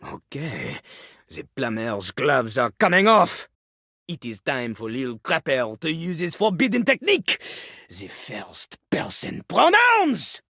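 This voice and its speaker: An actor playing a boastful French talking toilet in a video game, a pompous, theatrical rapper with a French accent. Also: male voice, a little high, huge pitch swings, takes it slowly, pushed and bright, no room sound, studio quality, heavily acted. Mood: scornful. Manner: pompous, theatrical, refers to himself in third person. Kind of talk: video game character acting.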